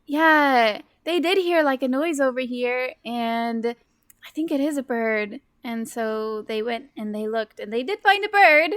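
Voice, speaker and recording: High-pitched woman at a tabletop game. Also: measured pace, a bit bright, smooth, dry recording. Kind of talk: casual game banter.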